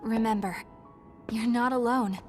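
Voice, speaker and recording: A woman's voice: fairly high pitch, steady tone, a little slow, natural voice. An actress playing an earnest, loyal young female companion in a video game, sounding sincere. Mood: gentle resolve. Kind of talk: video game cutscene acting. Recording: music behind the voice.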